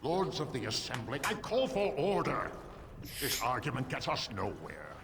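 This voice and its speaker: An actor playing a stern, formal dwarf steward in a video game, speaking with measured, ceremonious authority. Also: male voice, mid-range voice, huge pitch swings, a bit bright. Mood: stern, exasperated. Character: stern, formal male dwarf steward presiding over assembly. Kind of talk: video game character acting.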